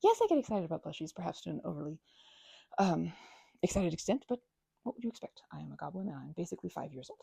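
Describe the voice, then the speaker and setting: Bubbly scattered girl bestie asmr chat, soft mellow tone, close dry sound, rambles with playful excitement. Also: lowish voice, fast talker, gravelly, slightly rough, no room sound. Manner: rambling, scattered, jumping between asides. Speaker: ASMR roleplay creator. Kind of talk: ASMR roleplay as a best friend chatting.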